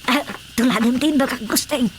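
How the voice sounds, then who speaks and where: A woman's voice: sits high, normal speed, natural voice. A woman playing a fussy, nagging female companion in an Ilocano radio drama comedy, full of exclamations. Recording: noisy radio drama mix.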